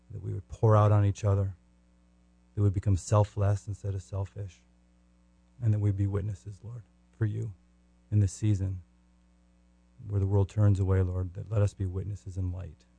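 A man from the United States speaking as a podcast guest in earnest, devout testimony and prayer, with halting phrasing and repeated words. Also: lowish voice, little pitch movement, vocal fry, mellow.

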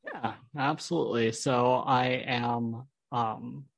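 A man's voice: medium pitch, slow pace, gravelly, dry recording, muffled recording. A man with an American accent, a podcast guest telling his personal story in an interview. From Holland, United States.

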